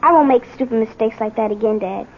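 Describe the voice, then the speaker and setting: High-pitched schoolboy acted by a woman, earnest, reassuring, 1970s radio play, narrow tinny broadcast sound. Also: quick pace, a little mellow, slight background noise, natural voice. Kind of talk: 1970s radio drama acting.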